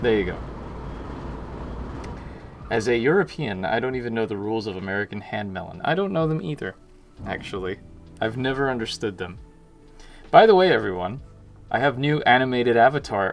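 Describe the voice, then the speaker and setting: A young man talks casually into a desk mic from a small room at home. He greets viewers one by one and pokes fun at himself in an ordinary mid-range voice. Faint music plays behind him. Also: mid-range voice. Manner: chatty and self-mocking, greets chat viewers by name. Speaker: indie game developer streaming. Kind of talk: casual livestream chat.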